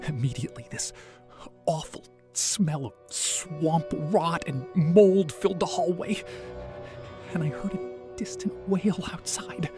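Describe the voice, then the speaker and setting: A woman narrating a first-person horror story, frightened and at times breathy. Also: fairly low pitch, takes it slowly, over music.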